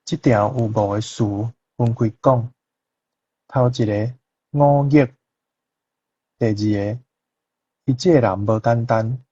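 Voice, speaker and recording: A man with a husky voice and Taiwanese accent, reading translations out deliberately as a language teacher. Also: medium pitch, warm tone, no echo, lo-fi sound.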